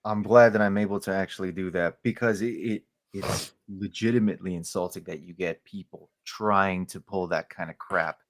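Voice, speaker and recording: A man from Colombia whose first language is Spanish, speaking passionately as a podcast guest and getting loud on key points. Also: low-pitched, steady tone, normal speed, hardly any pauses. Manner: passionate, gets loud on key points, stumbles when heated. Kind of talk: heated podcast discussion.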